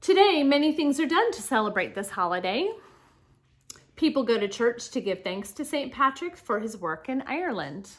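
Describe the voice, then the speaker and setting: Animated woman reading aloud to children. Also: fairly high pitch, moderate pace. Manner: addresses young listeners directly, explanatory. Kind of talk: children's picture-book read-aloud with casual asides.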